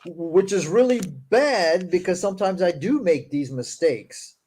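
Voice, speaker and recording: A man who speaks English as a second language, with Portuguese as his first, and a fairly high but mellow voice. He reads text slowly and breaks in with sarcastic asides. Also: animated. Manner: reads verses aloud slowly, then comments in a quick, sarcastic tone. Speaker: show host. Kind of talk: casual critical discussion.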